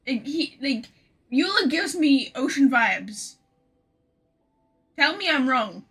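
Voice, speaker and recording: A woman livestreaming a video game, chatting casually to viewers in an excitable, rambling manner. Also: high-pitched, slow, rough recording. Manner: excitable, rambling, swears freely. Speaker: game streamer. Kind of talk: casual live gaming commentary, chatting to viewers.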